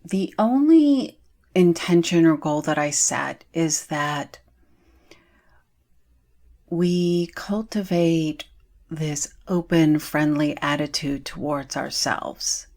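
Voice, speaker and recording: Very slow, low-pitched woman guiding meditation. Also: darkish tone. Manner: slow, soothing, with long pauses. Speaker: meditation guide. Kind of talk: guided meditation.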